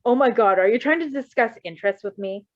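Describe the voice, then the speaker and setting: A woman's voice: fairly high pitch, lively intonation, quick pace, natural voice. Woman reading as a wary teenage boy thief, lo-fi. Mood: incredulous, deadpan. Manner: hesitant, slangy, offhand.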